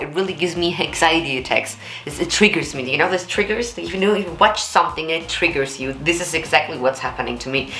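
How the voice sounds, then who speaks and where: A woman with a low alto voice, giving blunt, candid and expressive reaction commentary as a vocal coach. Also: roomy sound, slight background noise. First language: German.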